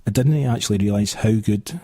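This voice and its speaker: A man with a Scottish accent hosting a radio show, chatting casually and quickly with lots of fillers in a studio. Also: on the low side, warm tone, no echo. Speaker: radio host.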